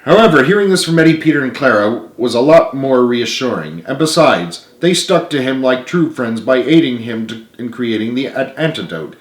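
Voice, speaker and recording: Guy reading his story out loud, earnest and dramatic, mid voice, roomy echo. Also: medium pitch, animated, normal speed, few pauses. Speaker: author narrating his own book. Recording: roomy, echoey home recording.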